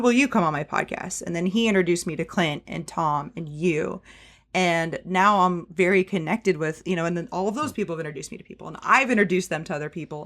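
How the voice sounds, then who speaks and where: A woman in her thirties with a fairly deep voice for a woman, a podcast guest chatting casually with plenty of fillers. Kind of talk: casual interview chat.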